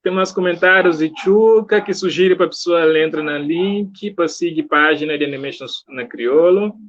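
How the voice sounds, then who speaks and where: A jovial man with a Cape Verdean accent hosts a casual live stream. His voice is on the high side, and the audio is a little dull, as on a video call. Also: normal speed. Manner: jovial, reads out viewer comments. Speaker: interviewer, host.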